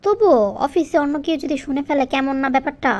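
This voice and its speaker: Actress as very high-pitched, coquettish scheming mistress. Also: female voice, quick pace, a little mellow, close to an everyday voice. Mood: coy.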